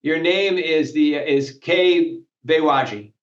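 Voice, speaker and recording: A man speaks as a podcast host in a conversational interview style. His voice sits a little higher than most men's. The recording is noticeably roomy, with echo around the words. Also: takes it slowly, dull audio.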